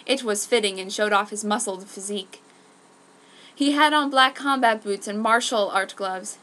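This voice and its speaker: A woman's voice: mid-range voice, normal speed, pushed and bright. A woman reading fan fiction aloud in an exaggerated, mock-serious dramatic style. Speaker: amateur fan fiction reader.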